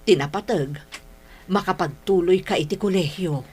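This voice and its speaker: A woman's voice: fairly low pitch, normal speed, darkish tone, lightly acted. An actress playing a caring, hard-up middle-aged mother in a radio drama, tender and pleading, with an Ilocano accent. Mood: concerned.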